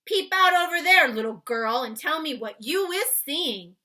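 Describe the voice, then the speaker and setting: A woman voicing a big friendly male giant, excitable and blustering, with garbled folksy grammar, in a children's storybook reading. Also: high voice, unhurried, voice pushed up, heavily acted. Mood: urging. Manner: garbled grammar, invented words, emphatic. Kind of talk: children's storybook read-aloud.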